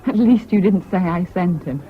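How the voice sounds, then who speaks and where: A woman's voice: lowish voice, lively intonation, normal speed, close to an everyday voice. An actress playing a bitter, scornful, fiercely independent British woman in a 1970s radio drama, with a cutting, sardonic manner. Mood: weary, wry. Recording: dull, noisy old radio recording.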